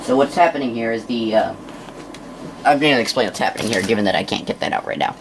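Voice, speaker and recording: A man giving an unscripted hands-on demonstration in a halting, casual mutter as he works, on an amateur recording. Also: mid-range voice, vocal fry, slight background noise, rough recording. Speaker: presenter of a hands-on science demonstration.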